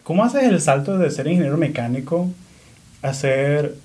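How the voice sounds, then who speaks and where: A man's voice: on the high side, animated, moderate pace, a little mellow. A man born in Venezuela and raised in Florida, interviewing a guest in casual chat, often backing him up with short affirmations. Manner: encouraging, frequent brief affirmations.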